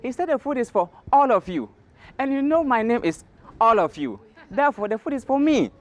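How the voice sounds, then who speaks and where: A man's voice: extremely high pitch, big pitch swings, brisk, lo-fi sound, voice pushed up. A man voicing a sly, greedy male tortoise in an oral folktale, speaking in a polite, emphatic, declamatory way. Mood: smug, triumphant.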